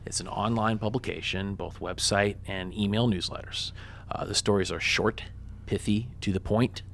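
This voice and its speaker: A man's voice: lowish voice, steady tone, moderate pace, vocal fry, a bit bright, slight background noise. A man who works as a reporter, joking and loose in a radio interview, talking with many fillers. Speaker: guest, reporter for an online publication.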